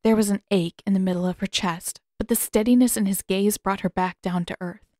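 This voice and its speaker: A woman's voice: mid-range voice, steady tone, brisk, warm tone, dry recording. A woman reading scripted fiction as an audiobook narrator, close to the microphone.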